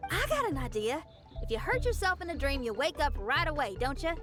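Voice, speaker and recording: Video game ingenue, a bubbly young girl, high-pitched, animated, guileless. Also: fairly quick. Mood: eager. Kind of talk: video game character voice acting. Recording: music behind.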